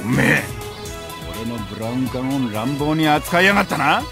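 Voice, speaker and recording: A man voicing a gruff, burly middle-aged workshop manager in a Japanese visual novel. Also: medium pitch, animated, fast. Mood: gruff scolding. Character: gruff, huge, muscular middle-aged workshop manager devoted to old TVs. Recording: game audio over background music.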